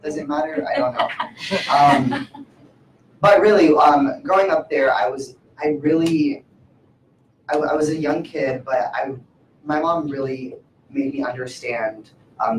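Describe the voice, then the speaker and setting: A man's voice: mid-range voice, moderate pace. A man in his twenties on a discussion panel, who talks in a hesitant way with many fillers and restarts. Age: 20s. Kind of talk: casual panel talk. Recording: echoey room from far off, faint noise.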